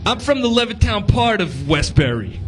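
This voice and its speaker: A man's voice: sits high, sing-song, normal speed, a little hiss or hum. A man co-hosting a comedy podcast, riffing jokily and laughing often. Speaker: podcast co-host. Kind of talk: casual comedic banter.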